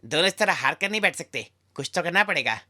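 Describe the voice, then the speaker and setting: A small cartoon magician mouse , played by a man, fearless and full of schemes. The voice is bright and sharp, slightly high, with a showy, confident delivery. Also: lively intonation, fast talker, heavily acted. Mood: determined.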